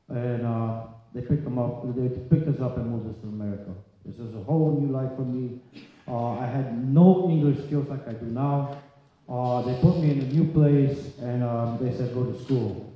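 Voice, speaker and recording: Man in a very echoey hall. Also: medium pitch. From Poland. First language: Polish. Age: 30s or 40s. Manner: halting, plain-spoken delivery in short phrases. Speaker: speaker sharing his story at a recovery meeting. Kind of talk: personal testimony to a group. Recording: echoey hall, long reverb, slightly dull sound.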